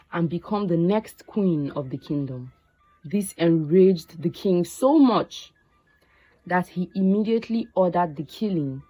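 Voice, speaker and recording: A woman narrating a scripted folktale in a measured, deliberate way, phrase by phrase. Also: lowish voice, dark tone, no echo, low-quality recording. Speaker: storyteller.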